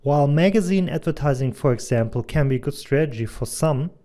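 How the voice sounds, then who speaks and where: A man in his thirties with a German accent, giving an earnest, measured presentation as a doctor and advertising consultant. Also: fairly high pitch, lively intonation, dark tone. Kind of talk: scripted presentation voice-over.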